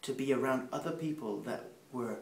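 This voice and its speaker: A man's voice: medium pitch, a little hiss or hum. English man with a Peruvian-tinged accent, roomy. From Peru. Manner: unhurried, pausing, with restarts. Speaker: speaker sharing family testimony. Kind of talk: personal faith storytelling. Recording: roomy sound from a distant mic.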